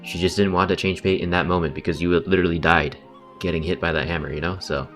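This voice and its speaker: A man's voice: low voice, steady tone, fast talker, fairly bright tone, faint music behind. A man giving slangy, mildly sarcastic live reactions as he streams a game.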